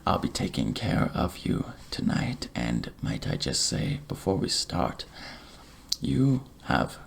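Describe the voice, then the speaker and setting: A man plays a courteous barber in an ASMR roleplay. His deep voice is hushed and full of gravelly creak, often sinking into a whisper. He takes his time, speaking slowly and gently. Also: huge pitch swings, a little hiss or hum. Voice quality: breathy, hushed, half-whispered delivery. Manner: gentle, courteous, unhurried reassurance. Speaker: ASMR creator playing a night-shift barber.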